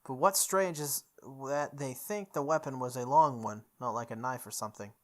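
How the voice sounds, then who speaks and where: A man voicing a serious, formal male high school student in a visual novel. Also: normal speed, fairly bright tone, close to an everyday voice. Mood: grave gossip.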